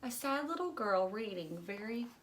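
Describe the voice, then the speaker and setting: A woman teacher reading a children's storybook aloud, expressive and dramatic, as if to young listeners. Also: a little high, normal speed, a little hiss or hum.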